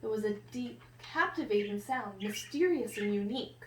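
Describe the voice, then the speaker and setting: A woman reading a picture book aloud to children in an expressive, teacherly way, pausing to ask questions and explain. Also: mid-range voice. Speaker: read-aloud storyteller for children. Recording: roomy, distant mic with faint noise.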